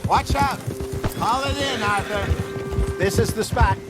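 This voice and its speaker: An actor playing a genial, wry older American outlaw in a video game. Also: male voice, high-pitched, slow pace, over loud music. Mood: eager. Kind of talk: naturalistic video game acting.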